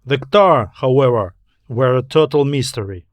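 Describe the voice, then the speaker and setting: A man's voice: medium pitch, animated, moderate pace, no echo. A man reading a scripted science fiction story as an audiobook narrator.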